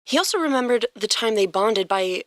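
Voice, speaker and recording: Expressive woman narrator with lively pitch. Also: fairly quick, dry recording, studio quality. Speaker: podcast host and narrator. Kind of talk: expressive scripted story narration.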